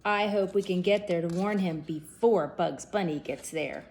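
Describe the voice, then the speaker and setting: A woman's voice: lowish voice, moderate pace, darkish tone, natural voice. A woman voicing an anxious female cartoon pig, speaking urgently. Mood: worried.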